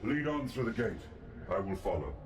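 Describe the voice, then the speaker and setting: A man acts out an ancient male god for a video game, regal and calculating. His voice is low and measured, with solemn, formal phrasing. It rings with heavy echo and sits at a distance from the listener. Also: expressive, natural voice. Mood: solemn, confiding. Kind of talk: scripted video game dialogue. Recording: cavernous echo, distant, music and ambience behind.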